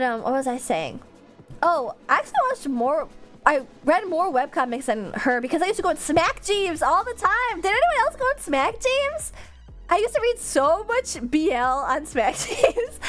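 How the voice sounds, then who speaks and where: A woman chatting casually on a livestream in a rambling, animated, slangy way, with a stuffed-up nose. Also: sits high. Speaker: streamer, VTuber. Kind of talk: casual livestream chat with viewers.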